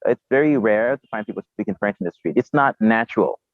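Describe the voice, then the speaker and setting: A man with a Haitian accent speaks in a low voice, hesitating and repeating words as he talks. He is joining remotely from outdoors, and his voice comes through a tinny, phone-like line. The sound is dry, with no room echo. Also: lively intonation. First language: Haitian Creole. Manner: halting, repeats words and fillers. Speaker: podcast guest, polyglot.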